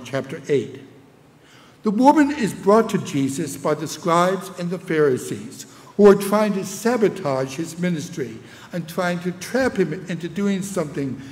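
An older man with a New England accent, preaching a measured sermon from a church pulpit microphone, lifting his voice on scripture lines. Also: fairly high pitch, darkish tone. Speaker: pastor. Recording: long church reverb.

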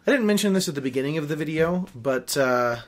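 A man's voice: fairly high pitch, expressive, quick pace. A young man reading a novel aloud in a small room at home, hosting and adding wry, casual asides. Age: young adult. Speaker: host of a book reading show.

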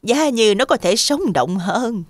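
A woman playing a kindly, excitable old grandmother in a children's cartoon, speaking expressively. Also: on the high side, a little slow. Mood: thoughtful. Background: soft children's music.

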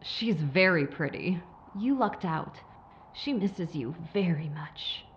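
A woman's voice: fairly low pitch, expressive, slow, dull audio, a little hiss or hum. A woman playing a sly, menacing corporate operative in an audio drama, taunting with mock sympathy. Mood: taunting menace. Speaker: villain.

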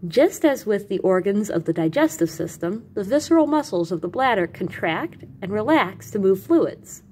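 A woman reading educational material aloud as a teacher, with animated emphasis and carefully separated lists. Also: mid-range voice, normal speed, slight background noise. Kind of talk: expressive educational read-aloud.

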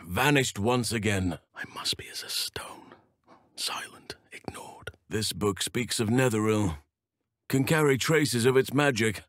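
An actor playing a wise, gentle and steadfast elf druid in a fantasy video game, speaking in measured, formal phrases. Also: male voice, fairly low pitch, no room sound, clean studio sound. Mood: stealthy whisper. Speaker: video game companion character. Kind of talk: acted fantasy game dialogue.

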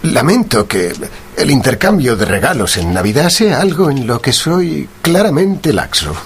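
An actor playing a brilliant, eccentric gentleman detective in a radio drama, speaking with courteous, wry, formal precision. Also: medium pitch, very animated, moderate pace, slight background noise. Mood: touched, courteous. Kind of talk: radio drama acting, Spanish dub style.

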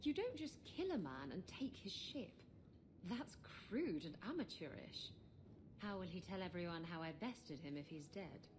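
A roguish female pirate in a fantasy video game. She is sly and self-assured, with very animated, swinging intonation and noisy game ambience behind her. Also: lowish voice, measured pace. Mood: confident, persuasive. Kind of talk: scripted video game dialogue. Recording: game audio with clear background noise.

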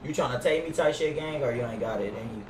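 A man's voice: medium pitch, fast talker. A young American man streaming game roleplay on a headset, chatting casually in heavy street slang. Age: young adult. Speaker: streamer playing GTA roleplay. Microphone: gaming headset with boom mic. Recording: roomy echo, loud game noise. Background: game street and car engine noise.

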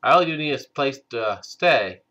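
A man hosting a game let's play, stuffed up from a cold, talking slowly with many hesitant fillers. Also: medium pitch, lively intonation, pushed and bright, dull audio. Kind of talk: casual gameplay commentary.

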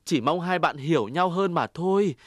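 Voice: medium pitch, expressive, normal speed, dry recording, clean studio sound, natural voice. An actor playing a sly, deceitful cartoon weasel who wheedles like a friend and boasts like a crook. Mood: wheedling, insinuating. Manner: wheedling, swings into boastful cackling. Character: sly deceitful weasel, fake-friendly, gloating when triumphant. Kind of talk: Vietnamese children's cartoon acting.

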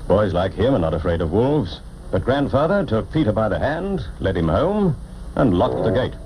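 A man narrating a children's storybook cartoon in a theatrical, expressive way, on an old film soundtrack. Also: fairly low pitch, normal speed, noisy background. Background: orchestral music.

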